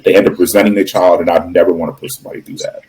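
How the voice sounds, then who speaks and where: A man speaking as a podcast guest, earnest and measured, with a lot of casual fillers. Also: low voice, steady tone, a little mellow, poor audio. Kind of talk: casual chat.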